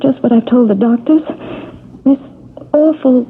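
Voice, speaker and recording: A woman's voice: fairly high pitch, a little slow, slightly rough. An actress playing an anxious, desperate woman who stammers and hesitates in a radio drama. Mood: distressed. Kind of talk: 1970s radio drama acting. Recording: tinny old radio broadcast.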